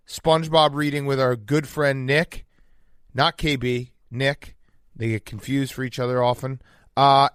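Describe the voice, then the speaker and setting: A man's voice: a little high, a bit bright, dry recording, studio quality. A sleep-deprived man hosting a podcast with casual, blunt, slangy sports banter and joking. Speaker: podcast host.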